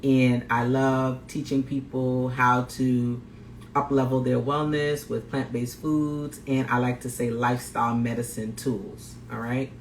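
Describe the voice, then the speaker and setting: A man's voice: mid-range voice, level tone, unhurried. Guy from guyana doing a live cooking stream, upbeat, repeats himself, echoey room w faint noise. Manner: upbeat, greets viewers, repeats phrases. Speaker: plant-based chef and health coach. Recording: roomy echo, faint background noise.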